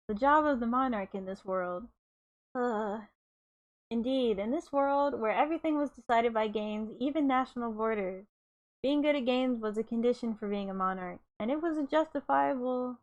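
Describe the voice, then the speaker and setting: A woman's voice: a little high, normal speed, highs cut off, close to an everyday voice. A woman voicing a cheeky, self-important young male gamer monarch in a casual, glib manner, as in a novel reading. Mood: smug, casual.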